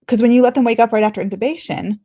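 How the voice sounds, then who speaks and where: A woman's voice: on the high side, racing, dark tone, breathy edge. A woman podcast host discussing medical topics conversationally, thinking aloud in an earnest, questioning way.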